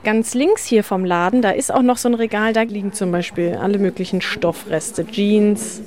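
A woman's voice: medium pitch, moderate pace, darkish tone. A woman reporting on location from inside a shop. She walks the listener through the shelves, describing what she sees in a mid-range voice. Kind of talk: on-site news report.

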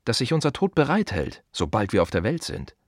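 Weary wry gravedigger in a radio play, husky voice, close dry sound, short punchy repeats. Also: male voice, fairly low pitch, wildly swooping pitch, fairly quick, no room sound. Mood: musing, wry. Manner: wry, emphatic, repeats short phrases, mimics others. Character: world-weary, wry male gravedigger musing on death and the city. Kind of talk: radio play monologue, conversational and rhythmic.

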